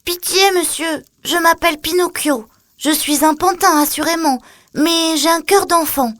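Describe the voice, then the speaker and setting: A woman's voice: very high-pitched, fairly even intonation, unhurried, fairly bright tone, dry recording, pitched above the performer's own voice. A woman voicing a naive little boy puppet in a children's audio story, childlike, earnest and polite. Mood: pleading.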